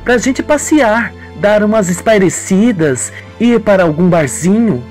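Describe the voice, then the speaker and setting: Man putting on a scheming young woman, high-pitched. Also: lively intonation, unhurried, darkish tone, faint music behind. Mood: feigned distress. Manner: coy, slangy, calculating. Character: scheming, dissimulating young woman, falsely innocent.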